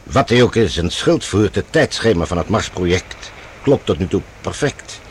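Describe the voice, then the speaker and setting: A male actor in a radio play as a composed, scheming general, speaking formally and with measured authority. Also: low-pitched, expressive, a little hiss or hum. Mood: resolute, scheming.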